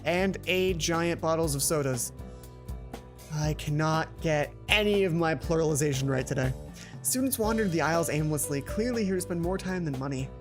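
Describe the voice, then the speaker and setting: A woman's voice: sits low, normal speed, soft background music. A woman hosting a let's play, reading a visual novel aloud with casual, playful asides. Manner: playful asides, self-correcting.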